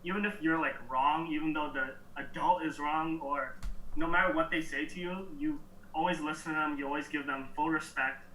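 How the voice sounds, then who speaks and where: A young man from New York answering interview questions in a relaxed, halting way, with soft laughs between thoughts. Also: a little high, a little hiss or hum. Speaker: interview guest. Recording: echoey room, mic at a distance.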